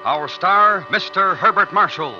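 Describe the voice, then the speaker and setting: A man's voice: high voice, measured pace. A man announcing a radio show with a dramatic, scripted introduction in old-time style. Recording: dull vintage radio recording. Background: music.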